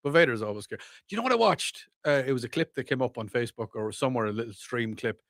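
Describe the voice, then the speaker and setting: Excitable Irish man, dry close mic. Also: mid-range voice, fast, no echo. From Ireland. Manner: excitable, repeats himself when worked up. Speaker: podcast co-host. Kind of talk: casual chat.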